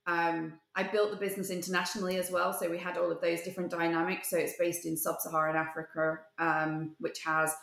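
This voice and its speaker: Low-voiced businesswoman in an echoey room. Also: level tone, gravelly, fairly bright tone. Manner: measured and articulate, with many "um"s. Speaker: company CEO, guest. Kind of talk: interview answers.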